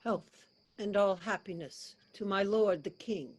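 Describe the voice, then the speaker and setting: A woman's voice: medium pitch, measured pace, breathy edge, no room sound. Woman acting a dry-sounding, courtly loyal lord. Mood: courtly, concerned. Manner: formal, courtly. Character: Lord Clifford, loyal courtly English nobleman adviser. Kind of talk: classical verse stage acting. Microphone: video call.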